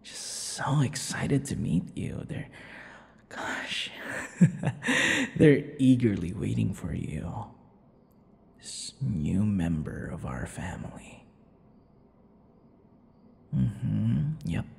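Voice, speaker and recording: Man acting a low, creaky, possessive vampire. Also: huge pitch swings, extremely slow, pauses often, full character voice. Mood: pleased, coaxing. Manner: teasing, breathy, often dropping to whispers and chuckles. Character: possessive centuries-old male vampire, handsome, teasing, softly menacing. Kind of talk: intimate ASMR boyfriend roleplay. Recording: long reverberant echo.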